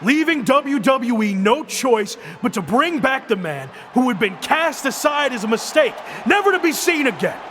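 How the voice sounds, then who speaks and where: An actor playing a cocky wrestler who cuts an emphatic, boastful ring promo in a game cutscene. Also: male voice, very high voice, big pitch swings, normal speed, hardly any pauses, a bit bright, a little hiss or hum, heavily acted. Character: cocky young male wrestler making a comeback.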